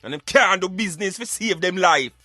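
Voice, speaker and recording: A man with a Jamaican accent giving emphatic, argumentative, streetwise opinions in animated radio banter. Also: high voice, fairly quick, no echo, faint music behind. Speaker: radio show host.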